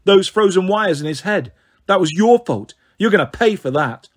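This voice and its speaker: A man acts out a scrappy teenage boy from a fantasy storybook. He talks bluntly and indignantly. His voice is high for a man, with an accusing edge. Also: lively intonation, moderate pace. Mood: accusing, angry.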